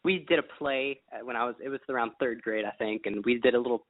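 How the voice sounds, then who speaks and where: A man's voice: medium pitch, quick pace, no echo. A teenage young man from Texas chatting casually as an interview guest, repeating words as he goes. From Texas, United States. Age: teens, sixteen. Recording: dry, thin phone-like line.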